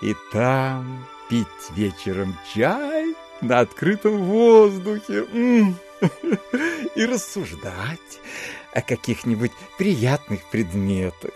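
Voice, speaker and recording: An actor playing a sugary, sentimental, dreamy landowner in an audio drama, with cloying, drawn-out, gushing delivery. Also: male voice, mid-range voice, wildly swooping pitch, a little mellow, soft background music, heavily acted. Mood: dreamy rapture.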